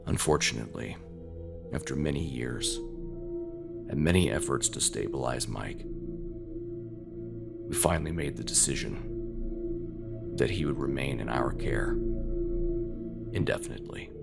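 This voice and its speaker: A man reading a scripted horror story in an ominous, suspenseful manner, at times sinking toward a whisper. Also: very deep voice. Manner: slow, ominous, suspenseful, dropping into breathy near-whispers. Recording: loud music under the voice. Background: music.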